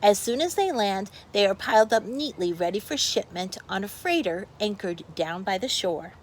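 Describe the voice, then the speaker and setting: A woman's voice: medium pitch, lively intonation, normal speed, slight background noise. A woman teacher reads a picture book aloud to young children, expressively, with explanatory asides to her pupils.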